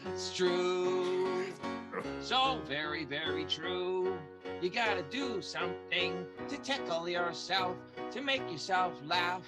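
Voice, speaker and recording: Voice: mid-range voice, takes it slowly, over loud music, close to an everyday voice. An actor playing a cheerful newlywed vaudeville performer with folksy, encouraging buddy talk in an improvised radio comedy. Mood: singing, consoling.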